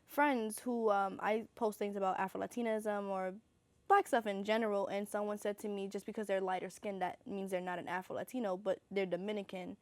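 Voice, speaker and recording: A woman's voice: mid-range voice, flat delivery, fairly quick, a little mellow, no room sound. A young woman co-hosting a casual talk show, upbeat and chatty, asking her guests questions. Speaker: podcast co-host. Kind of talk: casual discussion.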